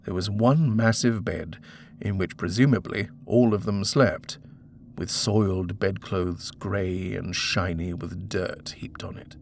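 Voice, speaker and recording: A man's voice: deep voice, slow, gravelly, slight background noise. A man narrating a scripted horror story as an audiobook reader.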